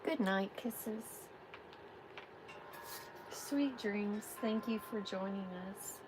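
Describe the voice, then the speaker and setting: A woman hosting a live tarot reading, giving spiritual guidance in a soft, soothing way. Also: medium pitch, noisy background. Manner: soft, unhurried, soothing affirmations.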